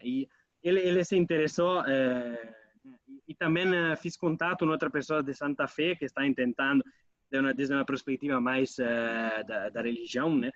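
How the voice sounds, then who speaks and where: An Italian man speaking as an interview guest, halting and restarting as he mixes Portuguese with Spanish. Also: medium pitch, steady tone, fairly bright tone, dry recording, dull audio. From Italy. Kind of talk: casual interview over a call.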